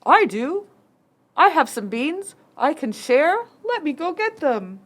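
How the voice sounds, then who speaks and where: Woman voicing an eager, high-pitched villager puppet. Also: sing-song, slow pace, fairly bright tone, heavily acted. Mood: eager, helpful. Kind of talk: children's puppet show acting.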